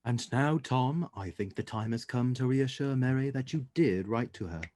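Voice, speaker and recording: Mellow, commanding gentleman detective played by a man. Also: mid-range voice, no echo, lightly acted. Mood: grave, deductive. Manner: formal, measured, assured. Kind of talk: radio drama acting.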